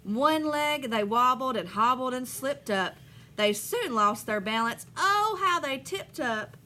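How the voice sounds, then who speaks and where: A woman reading a children's storybook aloud in an animated way, pausing to put questions to her young listeners. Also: high voice. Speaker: elementary school teacher reading aloud.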